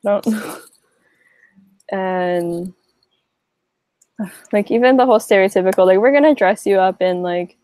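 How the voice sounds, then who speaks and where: A woman's voice: mid-range voice, a little slow, pauses often, a little mellow, clean tone, no room sound. A young woman from Hawaii answering interview questions in a loose, rambling way, full of fillers and restarts. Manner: rambling, many likes and restarts. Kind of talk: casual interview.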